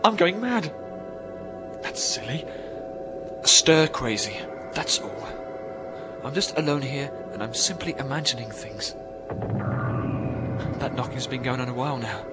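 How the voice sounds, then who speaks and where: A man's voice: medium pitch, expressive, a little slow, long pauses, natural voice. Man acting lonely astronaut, music behind. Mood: muttering, uneasy. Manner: emphatic, self-addressing, agitated. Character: lonely, stranded British male astronaut fraying on a moon base. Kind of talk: radio drama acting.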